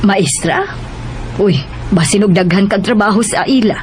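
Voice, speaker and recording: A woman's voice: medium pitch, normal speed, a little hiss or hum, close to an everyday voice. An actress playing a concerned middle-aged mother questioning her daughter in a radio drama. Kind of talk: Cebuano radio drama acting.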